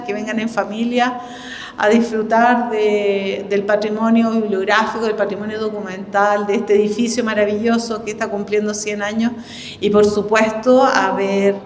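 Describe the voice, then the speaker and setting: A middle-aged woman with a Chilean accent giving an informal welcome speech as a director, halting as she searches for words. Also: medium pitch. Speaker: library director giving an opening address. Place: indoor exhibition event in a library. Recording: echoey hall, reverberant, faint background noise.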